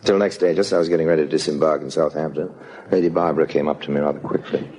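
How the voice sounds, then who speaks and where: A man's voice: extremely low pitch, fairly even intonation, racing, dark tone, slight background noise. An actor playing a suave, roguish con man who narrates his own scheme in an urbane, confiding old-time radio style. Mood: wry, confiding. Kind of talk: old-time radio drama acting, first-person narration. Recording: old radio recording with music behind. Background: music.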